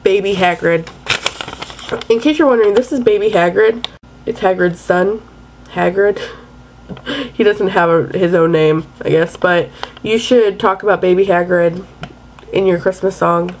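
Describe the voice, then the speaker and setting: A woman's voice: mid-range voice, measured pace, pauses often, a little mellow, a little hiss or hum, low-quality recording. Chatty young woman vlogging to a webcam. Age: young adult. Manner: chatty, rambling, playful. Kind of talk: casual chat to webcam. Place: bedroom at night, indoors. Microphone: webcam at close range.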